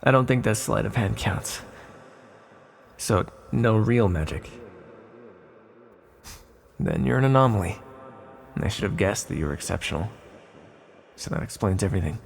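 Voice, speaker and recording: A man playing an ancient sealed villain, a calm and tender magical being who speaks in a measured, formal, reassuring way in an ASMR roleplay. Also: lowish voice, gravelly. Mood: gentle, reassuring. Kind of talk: ASMR roleplay, scripted monologue to the listener.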